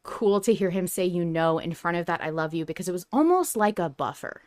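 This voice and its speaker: A woman's voice: lowish voice, expressive, fairly quick, darkish tone, no room sound. A woman co-hosting a podcast, speaking in a casual but analytical way, earnest and emphatic as she builds her points. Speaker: podcast co-host.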